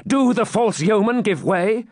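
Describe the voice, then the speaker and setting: A man acts out a wounded storybook knight, eager for battle and full of pride. The delivery is raised, declamatory and insistent, with lively swings of pitch and old chivalric diction. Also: moderate pace, no room sound, studio quality. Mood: urgent. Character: wounded male medieval knight, proud, impatient, eager for battle.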